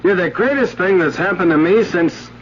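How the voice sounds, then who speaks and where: An actor playing an affectionate, flattering man with an effusive, teasing manner in a 1970s radio drama. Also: sits high, moderate pace. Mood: delighted. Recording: muffled, hissy 1970s radio recording.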